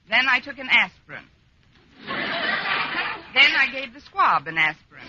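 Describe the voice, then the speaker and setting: An actress playing a wry, exasperated schoolteacher with a dry, sardonic delivery in an old radio comedy. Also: female voice, on the high side. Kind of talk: 1940s-50s radio sitcom acting. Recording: dull, noisy old-time radio recording.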